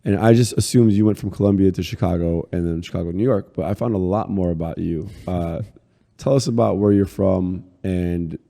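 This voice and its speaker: A male podcast host chats casually and keeps asking quick follow-up questions. His voice is low and very dark and mellow. A long hall reverb surrounds it. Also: gravelly. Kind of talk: casual interview conversation.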